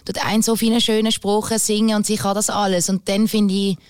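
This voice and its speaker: A woman with a Swiss German accent chats openly as a singer guest, rambling a little and often filling pauses. Her pitch is middling for a woman. The sound is close and dry. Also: level tone, a little mellow, smooth, no echo. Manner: candid, rambling, frequent fillers. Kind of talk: casual interview chat.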